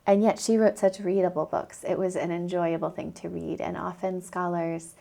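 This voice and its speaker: Gravelly, mellow-voiced American woman. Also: on the low side, little pitch movement, normal speed. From United States. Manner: enthusiastic, reflective, laughs often. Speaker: anthropologist guest. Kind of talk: podcast interview answers.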